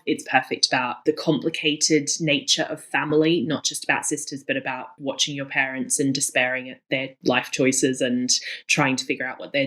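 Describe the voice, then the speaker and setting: Woman, thirties, low pitch, enthusiastic guest, conversational, emphatic, repeats words for emphasis. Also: sharp tone. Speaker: guest, cookbook author.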